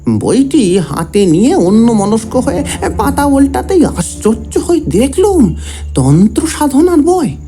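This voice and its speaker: A man's voice: extremely high pitch, big pitch swings, unhurried, dark tone, faint music behind, full character voice. An actor playing a young disciple who respectfully recounts events to his guru in an audio drama. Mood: eager reporting. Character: young male disciple of a tantric guru, deferential, gossipy storyteller. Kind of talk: dramatised Bengali audio story narration.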